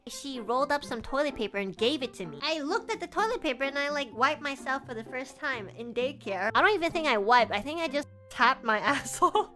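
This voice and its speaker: A young woman with a bright, fairly high voice, chatting casually to her stream. She grew up in Canada with Chinese as her first language. She tells crude stories in an animated way, often breaking into laughter, with soft music faintly behind her. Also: fairly quick, few pauses. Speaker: virtual streamer. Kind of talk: casual storytelling chat.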